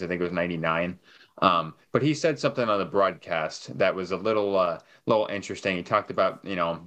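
A man hosting a casual sports podcast, relaxed and upbeat while he sets up questions. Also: low-pitched. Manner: relaxed, hypes up, asks long questions. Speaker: podcast host.

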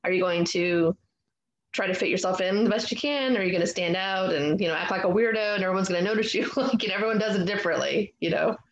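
A woman's voice: mid-range voice, fast talker, highs cut off. A woman hosting an interview show in a casual, conversational style, recorded in a roomy space with background noise.

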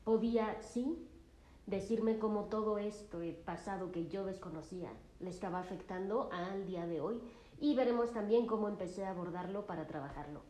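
A calm, reflective woman narrates a story from a therapy session for her podcast. Her voice is mellow and warm. The recording has a noticeable roomy echo. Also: mid-range voice, normal speed.

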